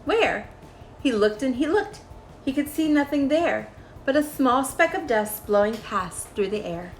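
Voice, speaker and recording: A woman reading a rhyming children's story aloud in an expressive, measured storytelling style. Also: sits high, a little hiss or hum, low-quality recording.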